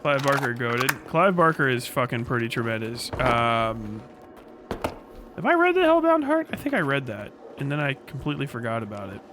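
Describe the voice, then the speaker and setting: A man's voice: medium pitch, big pitch swings, normal speed. A man livestreaming casually while gaming, chatting in a rambling, profane, slangy way. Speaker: livestreamer reacting to a video. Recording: clearly noisy livestream audio with clicking.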